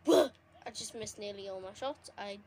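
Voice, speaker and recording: A young woman giving casual, excitable commentary while playing a console game, her voice going a bit hoarse. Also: medium pitch, unhurried, background noise. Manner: chatty, excitable, reacting to the game. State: losing her voice. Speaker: gaming streamer. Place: indoors at a console. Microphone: phone filming a TV screen.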